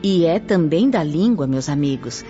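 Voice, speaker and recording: Casting: female actor as solemn, gentle spirit teacher, Brazilian, declamatory radio drama style, lo-fi sound, music underneath. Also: low voice, lively intonation. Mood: solemn, reverent. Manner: reverent, declamatory, measured. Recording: dull lo-fi audio under music.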